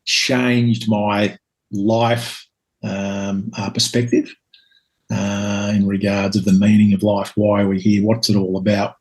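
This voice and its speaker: A Scottish man in his fifties, a guest telling his life story in a reflective, hesitant way with many pauses and ums. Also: fairly low pitch, flat delivery, a little mellow. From Scotland. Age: 50s.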